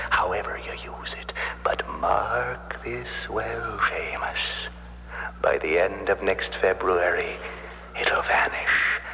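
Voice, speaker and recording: Deep whispering ghostly devil's messenger, cavernous reverb. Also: male voice, creaky, pushed and bright, heavily acted. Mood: ominous, solemn. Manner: measured, ominous bargaining, often whispering. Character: dead man's ghost serving as the devil's messenger. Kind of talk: 1970s radio drama acting. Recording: reverberant 1970s radio broadcast.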